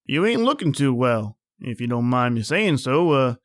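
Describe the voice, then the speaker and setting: A man's voice: medium pitch, very animated, normal speed, no echo, natural voice. A man acting a folksy, nosy small-town grocer in a radio drama, chatty and colloquial. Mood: folksy, prying.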